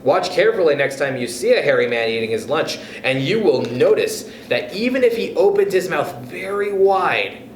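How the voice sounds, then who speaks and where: A man's voice: fairly high pitch, big pitch swings, normal speed. A man hosting storytime, reading a children's book expressively and speaking directly to young listeners. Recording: echoey room with background noise.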